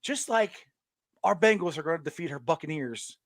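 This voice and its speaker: A middle-aged man chats about sports in a loose, rambling way, stumbling over restarts and breaking into laughter. His voice sits a bit high and swoops up and down a lot. The sound is dry and close. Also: moderate pace, dry recording. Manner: rambling, animated storytelling with frequent restarts and laughs. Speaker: podcast host. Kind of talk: casual sports chat.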